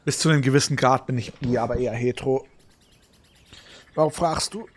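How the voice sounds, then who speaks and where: A man streaming a video game, chatting casually and haltingly between reading dialogue lines aloud. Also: mid-range voice, fairly even intonation, a little hiss or hum. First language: German.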